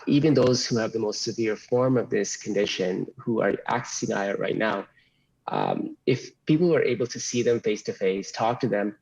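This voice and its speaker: A man giving explanatory answers as an expert interview guest, in measured, formal wording, over slightly dull audio. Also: on the low side, vocal fry, darkish tone, breathy edge.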